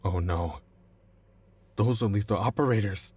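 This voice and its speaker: A man acting a cornered, anxious informant in an audio roleplay, pleading and explaining tactics urgently in a husky voice. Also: on the low side, unhurried, a little mellow. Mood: tense, wary. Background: music.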